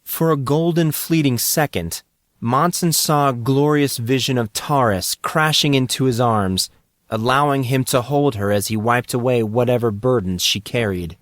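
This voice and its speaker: A man's voice: medium pitch, normal speed, dry recording, studio quality. A man narrating a story as a scripted dramatic audiobook reading.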